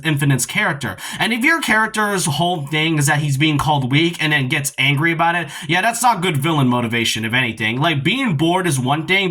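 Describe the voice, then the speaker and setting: A man's voice: on the high side, bright, forceful tone. A man giving casual, rambling opinion commentary on a video game in a conversational, critical way.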